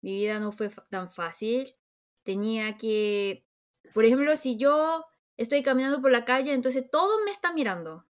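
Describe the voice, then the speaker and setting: A woman's voice: fairly high pitch, lively intonation, moderate pace. A middle-aged woman from Korea with a Korean accent, co-hosting a chat podcast in a reflective way, often restarting and searching for words. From South Korea. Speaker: podcast co-host. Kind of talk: casual chat.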